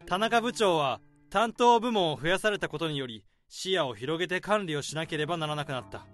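An actor playing an overworked male office worker laying out a case, in a radio drama, speaking calmly and methodically. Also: fairly high pitch, fairly quick, faint music behind. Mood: explaining. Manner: calm, methodical explaining.

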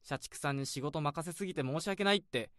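A man's voice: a little high, fairly quick, a bit bright, no echo, clean studio sound, lightly acted. A man playing a friendly young office junior. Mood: easygoing. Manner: polite, easygoing, slightly casual speech. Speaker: junior coworker. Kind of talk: radio drama acting.

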